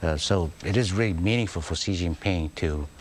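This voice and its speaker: A man answering questions as a guest analyst in a news interview, halting and full of fillers and restarts. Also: low-pitched, slight background noise.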